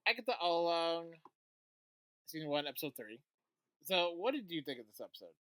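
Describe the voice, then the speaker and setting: Podcast cohost, bright edgy voice, chill tv chat, dry mic. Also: mid-range voice, big pitch swings, brisk, dry recording. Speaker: podcast co-host. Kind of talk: casual TV review chat.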